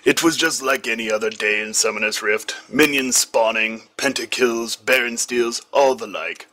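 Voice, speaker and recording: A man's voice: medium pitch, normal speed, sharp tone. A man reading a comic fan fiction story aloud as scripted narration.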